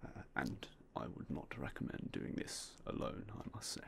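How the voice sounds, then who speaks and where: A young man whispering softly and politely as a game shop clerk in an ASMR roleplay, close to a binaural mic. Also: normal speed, noisy background. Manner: quiet, whispery, polite shopkeeper patter.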